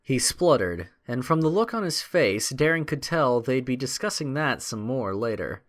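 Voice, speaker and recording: A male narrator reads an adventure story aloud in a dramatic, scripted style. His voice sits at a medium pitch and moves up and down with lively intonation. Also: normal speed.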